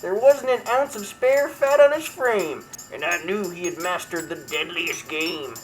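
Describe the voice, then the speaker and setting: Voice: medium pitch, unhurried, lightly acted, rough recording. Someone putting on a brash, irreverent comic storyteller over music. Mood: mock-dramatic. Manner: mock-dramatic storytelling, irreverent. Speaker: comedy show host character. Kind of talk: theatrical comic verse reading. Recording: music behind the voice.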